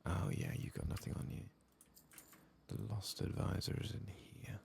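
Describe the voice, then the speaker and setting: A man doing soft-spoken, whispered ASMR game commentary in a hushed, breathy, gravelly voice, murmuring without hurry. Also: sits low, huge pitch swings, normal speed, slight background noise. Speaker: ASMR game playthrough host.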